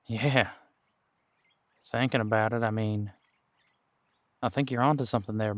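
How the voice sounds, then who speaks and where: A man acting as a seasoned detective in an audio drama, with a lowish voice in a dry, close recording. He is a patient, wry mentor who thinks aloud in folksy, colloquial phrases and takes his time. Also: steady tone, measured pace, fairly bright tone, no room sound. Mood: intrigued. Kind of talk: roleplay audio drama, one-sided conversation.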